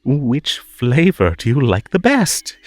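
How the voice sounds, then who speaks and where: A man's voice: medium pitch, wildly swooping pitch, takes it slowly, dry recording, soft background music. A man voicing a possessive, unhinged hare host in a theatrical roleplay audio drama, giggly and stammering, swinging from gushing to menacing. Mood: gushing, flirty.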